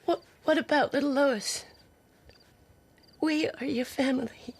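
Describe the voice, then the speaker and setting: A woman's voice: high voice, steady tone, unhurried, no room sound. An actress playing a distraught young mother and neglected wife, pleading in an emotional drama.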